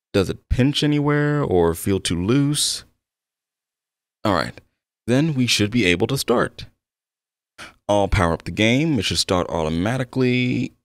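A man's voice: fairly low pitch, a bit bright, no room sound, clean studio sound. A man playing an eager, slightly awkward game developer, speaking conversationally and attentively to the listener in an ASMR-style roleplay. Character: eager, soft-spoken obsessive male game developer. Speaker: roleplay voice actor.

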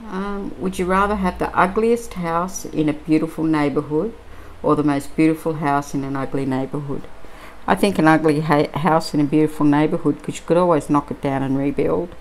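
A middle-aged Australian woman chatting casually and musing aloud, with many pauses and ums, while she crafts at a table indoors. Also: deep voice, a little hiss or hum, rough recording. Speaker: crafting vlogger.

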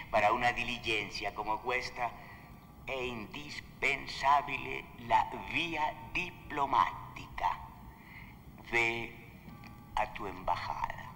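A man's voice: medium pitch, bright, forceful tone. An actor in his sixties with an Italian accent playing an amused, fatherly priest in a film, mixing Italian into his speech. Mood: amused, patient. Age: 60s. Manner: unhurried, mixing Italian into Spanish. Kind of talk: naturalistic film acting. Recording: reverberant hall, faint noise.

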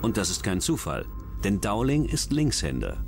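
Man, documentary narrator, lower-pitched voice, music playing underneath. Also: huge pitch swings, normal speed, creaky. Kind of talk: scripted true-crime narration.

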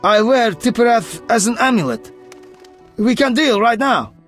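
An actor playing a haughty, rich, fat merchant in a fantasy game, with a theatrical delivery. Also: male voice, extremely high pitch, expressive, measured pace, fairly bright tone, faint music behind, exaggerated voice. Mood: smug, bargaining.

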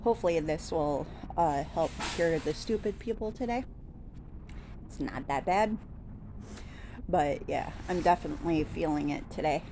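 A woman's voice: sits low, moderate pace, dark tone. A woman in her thirties chatting casually on a walk-and-talk vlog, rambling with lots of fillers and restarts, filmed on a phone at arm's length outside a store. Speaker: vlogger. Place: outdoors by a store, daytime. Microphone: phone held at arm's length. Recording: outdoor phone recording with traffic noise.

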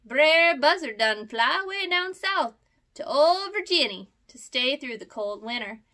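A woman's voice: high voice, lively intonation, unhurried, sharp tone, pitched above the performer's own voice, full character voice. A woman reading aloud voices a jolly, teasing old male possum with a drawling folksy dialect.

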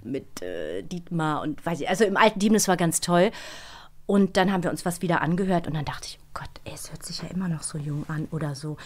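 A middle-aged woman, a voice actress, talking in a studio interview in a lively, expressive way and acting out little scenes. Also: fairly low pitch, fast. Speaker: voice actress guest. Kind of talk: interview conversation. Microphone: studio microphone.

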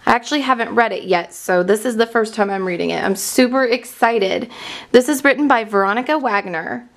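A woman reading a children's storybook aloud in an excited, expressive manner and enunciating for young listeners. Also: a little high, brisk, creaky.

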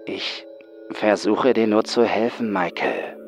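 A man puts on a patient, soft-spoken psychiatrist for a horror story. He is measured and coaxing, very slow in his delivery, with music behind him. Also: fairly low pitch, dull audio, voice pushed up. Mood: soothing. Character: calm, patient male psychiatrist, older than his patient. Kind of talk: horror audiobook acting.

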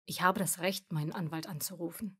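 A woman's voice: fairly low pitch, steady tone, brisk, bright, forceful tone, husky, exaggerated voice. A woman acting an insistent, urgent female character in a radio play. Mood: anxious, protesting.

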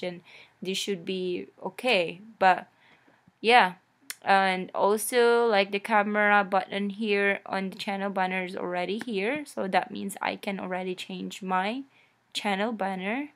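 A young woman whose first language is Filipino, chattily narrating a screen-recorded tutorial with many fillers and restarts. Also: mid-range voice. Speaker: YouTube tutorial host.

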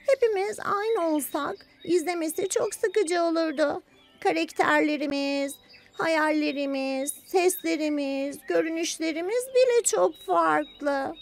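Very high childlike voice, woman playing cheerful little girl, expressive, children's cartoon, music behind.

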